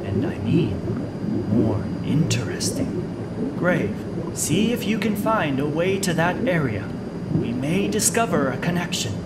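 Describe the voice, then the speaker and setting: A man's voice: on the high side, sing-song, heavy background noise. An actor playing an eccentric, inquisitive male expert in a video game, drawing out and repeating words for emphasis. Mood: musing, emphatic. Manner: drawn-out, emphatic, repeats himself for effect. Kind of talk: video game cutscene acting. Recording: loud music under the voice.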